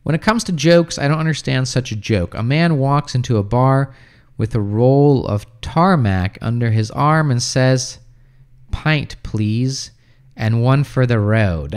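A man in his thirties, raised in the United States, hosts a relaxed English-practice livestream from a small room at home. His voice is smooth and clear at a middling pitch. He chats loosely into a close boom mic and answers viewers' questions with plenty of fillers. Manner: relaxed and chatty, many fillers, answers comments offhand. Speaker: English teacher hosting a livestream. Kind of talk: casual livestream chat reading viewer comments. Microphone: studio mic on boom arm close to mouth.

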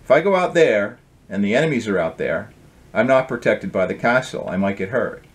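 A man's voice: on the low side, animated, a little fast, close to an everyday voice. Man reading as curt, guarded storybook lobster. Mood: wary. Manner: curt, contrary. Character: guarded, fearful, stubborn lobster alone in a castle.

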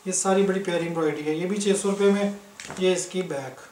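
A man from Pakistan showing clothes for sale, listing prices in a brisk, matter-of-fact way. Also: high voice, far from the mic, heavy background noise. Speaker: online clothing seller. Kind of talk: casual product showcase. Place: indoors, filming fabrics on the floor. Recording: echoey room, somewhat off-mic.

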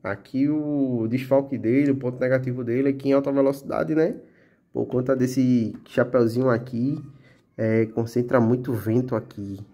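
A man with a Pernambuco Brazilian accent talks in an easygoing show-and-tell way, tagging his sentences with little checks to the viewer. His voice is mellow and dark, of middling pitch. He is filming close on a phone indoors at a table. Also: normal speed. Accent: Pernambuco Portuguese. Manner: relaxed, chatty, full of fillers like "tá?" and "né?". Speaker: motovlog channel host. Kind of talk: casual product show-and-tell.

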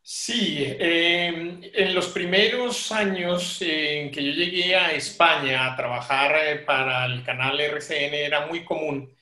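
A bright, forceful man's voice, somewhat high, in an echoey room over a video call. He is in his forties and speaks in a formal, articulate way as a journalist in a discussion. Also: steady tone, slow, few pauses. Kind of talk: measured panel discussion. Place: small home office, on a video call.

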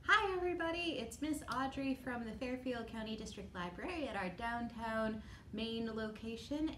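A woman's voice: fairly high pitch, a bit bright. A middle-aged woman, a librarian, casually explaining the contents of an activity kit to children. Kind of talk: casual instructional talk to children. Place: children's library room, indoors. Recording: echoey room with faint background noise.

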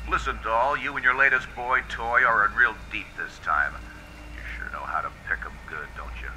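An actor playing a world-weary, lovesick hard-boiled police detective in a brooding, slangy film noir radio drama style. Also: male voice, on the low side, moderate pace, bright, forceful tone, slight background noise, rough recording. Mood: sardonic.

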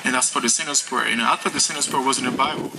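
Man from Haiti, young adult, halting testimony with ums, outdoor stand mic, noisy open-air recording. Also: mid-range voice, creaky. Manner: unhurried, halting, many ums and restarts. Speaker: church member giving testimony. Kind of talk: personal testimony to a gathering. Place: outdoors by a lake. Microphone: stand mic, filmed from a distance. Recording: outdoor ambience and noise.

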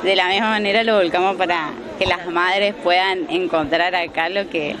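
A young woman, a craft vendor explaining her products warmly with fillers in an interview. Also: lowish voice, steady tone, moderate pace, crowd noise. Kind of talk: casual interview. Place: large indoor fair hall. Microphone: handheld mic. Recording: background noise.

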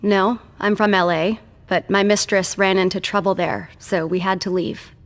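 An actress voicing a defiant, world-weary former servant of a vampire in a video game. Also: female voice, mid-range voice, steady tone, normal speed, lightly acted. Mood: sober, reflective. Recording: long reverb.

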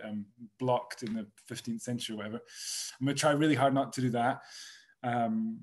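A Scottish man in his thirties guides a live online tour from a small room at home. His mid-range voice stays relaxed and conversational, full of fillers and self-corrections. The sound comes through a webcam mic on a video call. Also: mid-range voice. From Scotland. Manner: relaxed, chatty, frequent fillers and restarts. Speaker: tour director. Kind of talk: casual virtual tour presentation.

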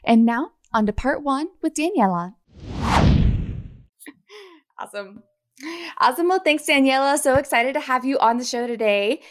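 A woman's voice: sits high, lively intonation, normal speed, pauses often. A woman hosting a podcast, chatting enthusiastically with her guest and reacting with exclamations. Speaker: podcast host. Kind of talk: casual interview chat.

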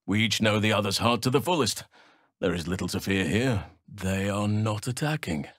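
Deep, solemn elf druid healer. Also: male voice, expressive, fairly bright tone, no echo, studio quality. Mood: calm, earnest. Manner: measured, earnest, formal and slightly archaic phrasing. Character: gentle, solemn male elf druid healer, nature-loving and protective. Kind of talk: video game character voice lines.

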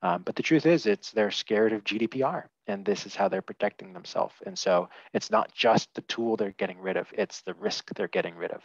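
A man's voice: on the low side, very animated, a little fast, gravelly, hardly any pauses, dry recording. A man on a headset in a small room, giving candid, opinionated views as a panelist in a webinar, with many fillers and restarts. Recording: dull lo-fi video call audio.

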